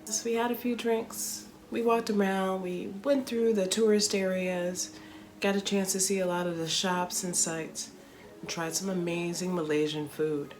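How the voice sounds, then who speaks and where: A woman's voice: lowish voice, measured pace, a little hiss or hum. Chatty woman telling a travel story. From Chicago, United States. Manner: chatty, animated storytelling with playful asides. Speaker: comedian and travel vlogger.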